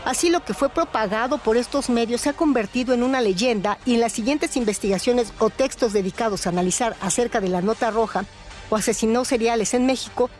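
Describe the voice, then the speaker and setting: A woman's voice: fairly high pitch, brisk. A woman reading scripted narration as the host of a radio show. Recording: loud music bed behind voice. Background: music.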